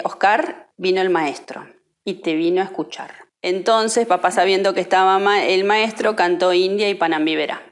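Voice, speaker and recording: A woman telling anecdotes in an interview, conversationally, voicing the quoted dialogue as she goes. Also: lowish voice. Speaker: interviewee telling family memories.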